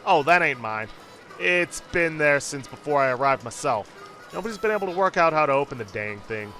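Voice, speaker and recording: Man putting on a cheerful, folksy hobo with bright voice. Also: fairly high pitch, animated, a little fast, faint music behind. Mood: friendly, folksy. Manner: folksy drawl-like phrasing, jovial. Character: cheerful folksy joshing male hobo camped in a boxcar. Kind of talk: read-aloud game character dialogue.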